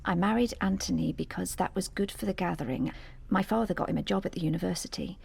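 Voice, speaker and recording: A woman's voice: lowish voice, quick pace, vocal fry, darkish tone, husky, heavily acted. An actress playing an anxious married woman in an audio drama, hesitant and earnest as she confides in police. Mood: subdued, confessional. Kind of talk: naturalistic audio drama acting.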